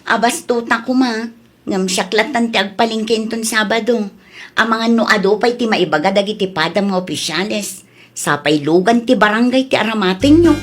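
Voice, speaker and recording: A woman with an Ilocano Filipino accent playing a nagging, sharp-tongued village wife and mother in a comedy audio drama. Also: mid-range voice, moderate pace, close to an everyday voice. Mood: chatty. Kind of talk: comedy radio drama acting.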